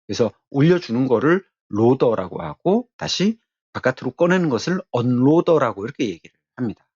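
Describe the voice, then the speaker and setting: Male instructor, explanatory lecture style, halting delivery with repeated key phrases, roomy echo, dull lo-fi sound. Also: medium pitch, darkish tone, breathy edge.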